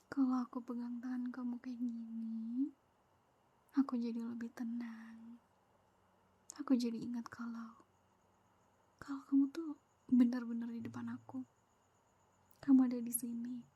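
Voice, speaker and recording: A young woman voicing a clingy, possessive, jealous girlfriend in a whispered ASMR roleplay, soft, sweet and needy, close to the microphone. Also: on the high side, slightly rough, no echo, muffled recording, heavily acted. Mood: tender whispering.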